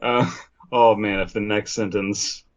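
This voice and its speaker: A man's voice: on the low side, fairly even intonation, a little slow. A man co-hosting a podcast, reading a story aloud and breaking off for wry, mocking asides. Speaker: podcast co-host. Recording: dull, muffled lo-fi sound.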